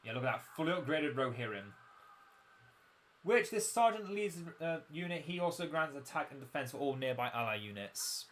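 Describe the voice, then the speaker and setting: A young man with a fairly high, croaky voice streams a strategy game, chatting easily and poking fun at his own memory. He explains unit buffs in a loose, friendly way into a desk mic, with a faint hiss behind him. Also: lively intonation, moderate pace, a bit bright. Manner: chatty, self-mocking, explains game mechanics. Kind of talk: casual livestream commentary.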